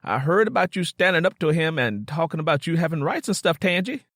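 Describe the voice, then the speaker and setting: A man's voice: on the high side, lively intonation, a little fast, a bit bright, no echo, studio quality. Man voicing a scolding old Southern grandmother. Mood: scolding, alarmed. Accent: American South. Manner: scolding, blunt. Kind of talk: audiobook character dialogue.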